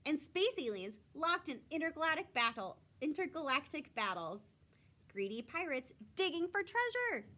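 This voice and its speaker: A woman reading a children's picture book aloud like a teacher, with expressive, clearly phrased storytelling. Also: a little high.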